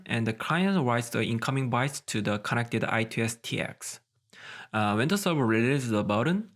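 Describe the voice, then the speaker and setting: A man's voice: medium pitch, vocal fry, fairly bright tone. A man presenting a scripted technical tutorial, explaining things methodically step by step.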